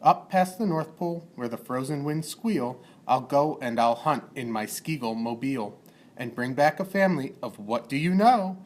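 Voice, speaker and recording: A man reads rhyming verse aloud from a children's storybook. His voice is of average pitch. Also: expressive, normal speed, a little mellow. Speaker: staff member reading aloud.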